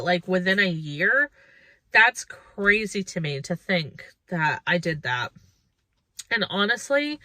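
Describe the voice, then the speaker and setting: A woman's voice: medium pitch, pushed and bright, no room sound. A woman of about thirty chatting casually and reflectively to her viewers as a lifestyle vlogger, informal and thinking aloud.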